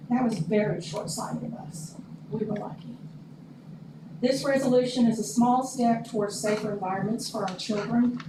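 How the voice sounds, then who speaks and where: A woman with an Oklahoma accent and a scratchy voice, speaking earnestly as a parent at a public meeting. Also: medium pitch. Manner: plain, earnest speech. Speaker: public commenter. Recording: echoey room, distant mic, noisy.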